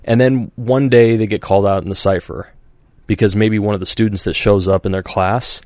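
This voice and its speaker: A man's voice: on the low side, fairly even intonation, a little fast, a little mellow, no room sound, clean studio sound. A man hosting a podcast in casual chat, rambling with many fillers and restarts and acting out quoted voices. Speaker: podcast host.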